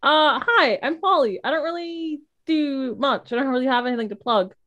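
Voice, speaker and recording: A woman's voice: high voice, moderate pace, bright, forceful tone. Shy, self-deprecating woman player. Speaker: player and streamer. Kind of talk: casual chat.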